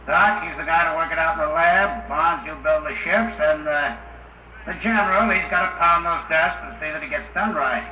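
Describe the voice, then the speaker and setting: A man's voice: a little high, normal speed, close to an everyday voice. An actor playing a brash, slangy, wisecracking spaceman in a 1950s radio drama, breezy and stubborn. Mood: stubborn resolve. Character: brash, wisecracking working-class spaceman, stubbornly self-sacrificing. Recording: noisy, roomy, muffled old radio.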